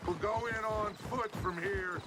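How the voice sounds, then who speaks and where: An actor playing a grandiose, vengeful outlaw gang leader in an emphatic, oratorical game performance. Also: male voice, high voice, fairly even intonation, a little slow, very noisy. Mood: commanding. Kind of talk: dramatic video game acting. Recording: outdoor horse hoofbeats and ambience behind.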